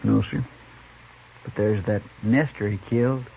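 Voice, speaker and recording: A man's voice: on the low side, steady tone, measured pace, creaky. A man playing a loyal, earnest, folksy deputy sidekick in a 1950s radio Western. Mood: earnest, glum. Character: loyal, deferential marshal's sidekick. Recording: tinny, faintly noisy 1950s radio recording.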